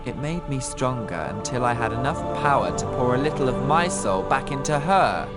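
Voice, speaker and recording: A man playing an arrogant, menacing teenage dark wizard in a video game, taunting and grandiose. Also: mid-range voice, expressive, moderate pace, loud music behind the voice, close to an everyday voice. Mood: sinister.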